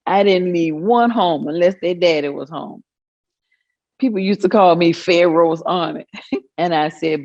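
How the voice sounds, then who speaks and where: A woman's voice: on the low side, darkish tone, no echo, lo-fi sound. An actress playing a proud, amused older mother with a Southern US accent, telling folksy stories in a staged reading. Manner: folksy, chuckling storytelling. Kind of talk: staged reading of oral history.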